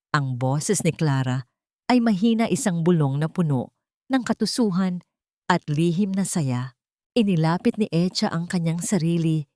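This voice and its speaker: Woman telling a dramatic audio story, lowish voice, very dry close sound. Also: dark tone, dry recording. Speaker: story narrator. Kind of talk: scripted dramatic narration.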